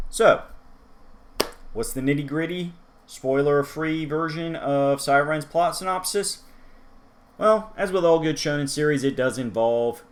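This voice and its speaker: A man in a middle register talks through a manga review in a loose, rambling way. He drifts into side remarks to the viewer and circles back to his point. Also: mid-range voice. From United States. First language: English. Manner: chatty and rambling, with asides to the viewer. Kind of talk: casual solo review talk.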